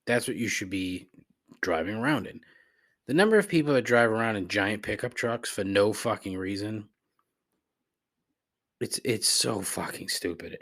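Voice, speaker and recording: A man's voice: fairly low pitch, fairly bright tone. A man hosting a podcast, rambling casually and profanely as he responds to listeners with conversational gripes. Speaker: podcast host. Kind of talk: casual rambling solo commentary, answering voicemails.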